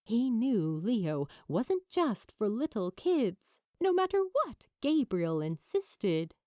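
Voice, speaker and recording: Expressive high-pitched woman narrator. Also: slow pace, dry recording, clean studio sound. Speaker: audiobook narrator. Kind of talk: expressive scripted fiction narration.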